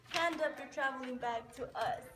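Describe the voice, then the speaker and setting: A woman's voice: high voice, moderate pace, fairly bright tone. A woman playing a fussy, scheming cottage sister in an amateur rhyming puppet show. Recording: distant mic, clearly noisy, clicks.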